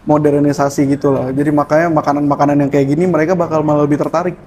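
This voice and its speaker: A man explains how his cafe works, his voice pitched a little high. He talks in an easygoing way, dotting his answers with hesitant fillers, over faint background noise. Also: flat delivery, very fast, darkish tone. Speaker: chef and barista at a cafe. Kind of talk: casual interview answers.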